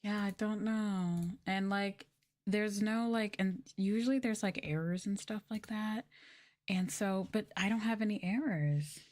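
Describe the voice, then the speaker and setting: A woman's voice: mid-range voice, unhurried, darkish tone. A woman livestreamer chatting casually and informally to her viewers, rambling along with lots of "like". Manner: informal, rambling, uses "like" and "y'all". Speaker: VTuber livestreamer. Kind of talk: casual soft-spoken livestream chat to viewers.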